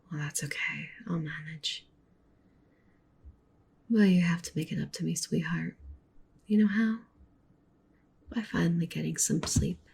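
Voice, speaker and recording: A woman playing a doting, possessive yandere wolf witch girlfriend in an intimate, breathy whispered ASMR roleplay. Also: a little slow, pauses often, a little mellow, exaggerated voice. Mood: teasing, tender. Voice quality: breathy whisper with low creaky murmur. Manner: intimate, tender, clingy, coaxing.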